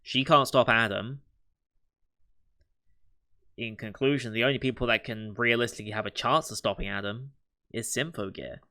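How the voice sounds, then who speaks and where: Guy reacting to an anime, thinking out loud, crisp clear voice. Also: medium pitch, normal speed, long pauses. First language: not Japanese. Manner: thinking aloud, speculative, with soft laughs. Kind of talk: casual reaction commentary.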